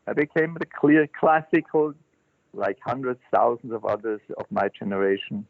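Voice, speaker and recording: An older man, a Zen teacher telling his life story as an interview guest, halting and thoughtful with many restarts. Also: medium pitch, lively intonation, gravelly, no room sound. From Switzerland. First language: German. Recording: dry, tinny phone-line sound.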